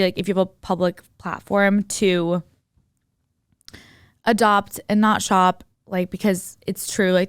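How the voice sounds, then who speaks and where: A woman's voice: mid-range voice, clear, resonant tone, no echo, clean studio sound. A young woman co-hosting a podcast, chatting casually and giving her opinions in slangy, conversational language peppered with "like". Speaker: podcast co-host.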